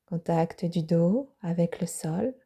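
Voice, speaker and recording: A woman yoga teacher guiding a yoga nidra relaxation, speaking softly with breathy, half-whispered phrases. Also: lowish voice. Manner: slow, soft-spoken guidance with breathy, half-whispered phrases.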